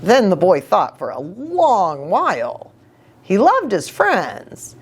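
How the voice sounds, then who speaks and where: A woman reading a story aloud for young children as a church storyteller, expressive, with chatty asides. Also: medium pitch, slow pace, breathy edge.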